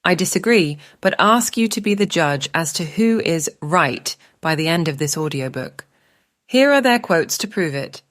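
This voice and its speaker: Female author-coach, lowish voice, scripted self-help audiobook narration, measured and instructional, very dry close sound. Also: animated, a bit bright, no room sound.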